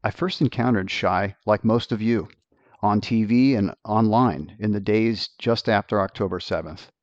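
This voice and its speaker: Man, podcast host, scripted intro, low voice, muffled dull sound. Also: moderate pace, a little mellow, no echo.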